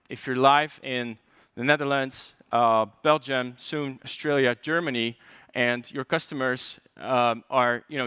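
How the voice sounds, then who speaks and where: A man's voice: medium pitch, pushed and bright, dry recording, studio quality. Chatty Polish man giving a tech talk. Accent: light Polish. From Poland. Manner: chatty, joking asides, frequent fillers and restarts. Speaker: conference speaker.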